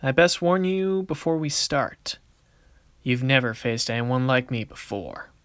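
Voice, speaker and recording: A man's voice: mid-range voice, lively intonation, a little slow, a bit bright, clean tone, no room sound. A man voicing a confident, wisecracking young card duelist in an anime fan production, casual and theatrical. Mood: playful. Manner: casual, wry, theatrical when announcing moves.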